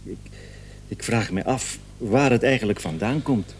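An actor playing an anxious, long-suffering patient who stammers and repeats himself in a radio drama. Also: male voice, mid-range voice, unhurried, breathy edge. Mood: worried, plaintive. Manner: hesitant, stammering, repeating words. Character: anxious, long-suffering male allergy patient, hesitant and plaintive. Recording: old radio recording, faint hum.

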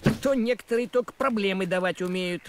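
A man's voice: sits high, quick pace, very noisy, natural voice. A man voicing a fussy, bossy, thrifty talking tomcat in a cartoon, nagging with folksy sarcasm. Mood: grumbling. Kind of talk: animated cartoon acting. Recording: music behind the voice.

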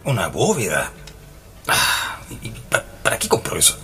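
An actor playing an earnest, grave male parapsychologist in a radio drama, speaking dramatically and deliberately with a Chilean accent. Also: deep voice, huge pitch swings, pushed and bright, exaggerated voice. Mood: shocked.

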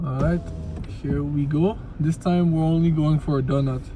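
A man's voice: a little high, fairly even intonation, moderate pace, dark tone. A man hosting a casual prank vlog from inside a car, talking to the camera and to drive-thru staff. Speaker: prank video host. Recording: noisy car interior, ambient vehicle noise.

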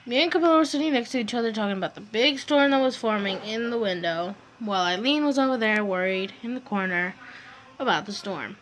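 A woman's voice: a little high, brisk, a bit bright, slight background noise, low-quality recording. A teenage girl hosting a casual vlog, telling car-trip stories over her drawings in a rambling, self-mocking way, recorded on a handheld phone. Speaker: vlog host.

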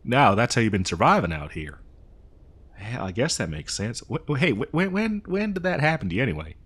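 A man's voice: mid-range voice, big pitch swings, fairly bright tone, husky. A man playing a dim, good-natured cowboy mail rider in a comedy roleplay, rambling hesitantly in an American cowboy drawl. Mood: apologetic, surprised. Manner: rambling, hesitant, folksy, slow-witted. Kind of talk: improvised comedy audio roleplay.

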